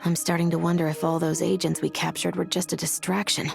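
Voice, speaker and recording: Stern game patrol officer, low voice, creaky edge, firm, businesslike. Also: female voice, little pitch movement, a little fast, music in the background. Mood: serious. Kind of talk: video game character acting.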